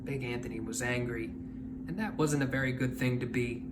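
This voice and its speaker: A man reading a children's picture book aloud as a storyteller, in an expressive manner. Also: medium pitch, brisk, background noise. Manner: animated, expressive.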